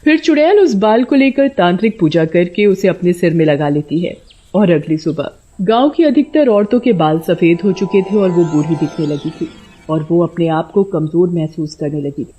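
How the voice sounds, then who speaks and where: A woman's voice: fairly low pitch, animated, moderate pace, mellow, slightly rough, soft background music. A woman narrating a scripted bedtime story as storyteller. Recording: dull lo-fi audio.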